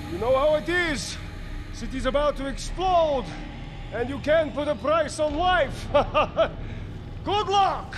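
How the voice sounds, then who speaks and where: An actor playing a greedy, taunting mercenary villain in a video game, with a smug, theatrical delivery. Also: male voice, extremely high pitch, background noise, full character voice. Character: greedy, taunting male mercenary villain who loves money. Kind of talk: video game cutscene acting. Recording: loud music behind the voice.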